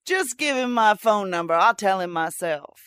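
An actress playing a blunt, grumbling maid in a radio drama, with colloquial wisecracks. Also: female voice, mid-range voice, animated, normal speed, a bit bright, smooth, natural voice. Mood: wisecracking. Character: sassy, blunt, grumbling female dresser and maid. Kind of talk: dramatic radio play acting.

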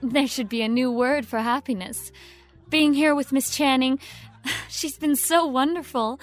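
Woman acting a high-voiced, demure young assistant. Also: fairly even intonation, unhurried, soft background music. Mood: humbly pleading. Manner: polite, soft-mannered, deferential. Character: demure, eager young female assistant, humble and adoring. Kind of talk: audio drama acting.

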